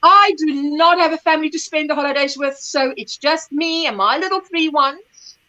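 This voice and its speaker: Chatty woman, bright voice. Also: sits high, normal speed, low-quality recording. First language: Afrikaans. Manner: chatty, rambling, searches for words, laughs often. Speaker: livestream host. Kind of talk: casual livestream chat, reading comments aloud.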